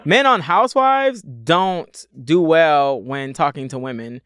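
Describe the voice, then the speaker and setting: A man hosting a podcast, chatting in a quick, playful way. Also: on the high side, animated, a bit bright, smooth, no room sound. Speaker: podcast host. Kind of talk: casual chat.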